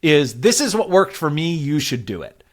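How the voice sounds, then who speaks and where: A man's voice: fairly high pitch, very animated, normal speed. A man speaking as an interview guest, explaining advice in a casual, structured way with conversational filler words. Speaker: podcast guest, growth advisor.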